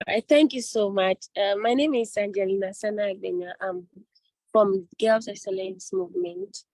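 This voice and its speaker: A woman's voice: mid-range voice, lively intonation, normal speed, a little mellow, dry recording. A young woman student from Ghana, speaking gratefully and a little hesitantly on a video call. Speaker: university student.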